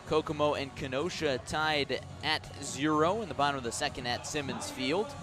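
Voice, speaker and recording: A man calling baseball play-by-play for radio, conversational and storytelling between pitch calls, with clearly structured sports commentary delivery. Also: normal speed.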